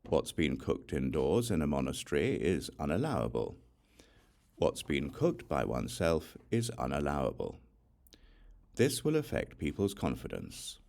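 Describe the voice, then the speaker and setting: Man reading as the Buddha, very deep, authoritative. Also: wildly swooping pitch, measured pace, vocal fry, a little mellow, heavily acted. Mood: stern rebuke. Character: the Buddha, authoritative male teacher laying down rules.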